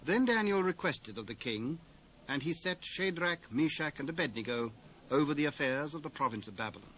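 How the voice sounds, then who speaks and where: Higher-pitched British male scripture narrator. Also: expressive, fairly quick, a bit bright. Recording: noisy old cassette dub.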